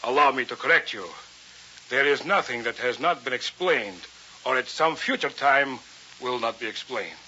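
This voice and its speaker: A man's voice: mid-range voice, normal speed, pushed and bright. An actor playing a cold, saturnine physicist in his forties, formal, precise and dismissive, in a vintage radio drama. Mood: cold, disdainful. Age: 40s. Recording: muffled vintage radio recording with hiss.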